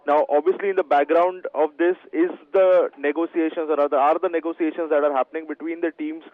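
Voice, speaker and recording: A man's voice: on the high side, level tone, fast talker, dry recording, phone-quality sound. A man with an Indian accent anchoring live breaking news in an urgent manner.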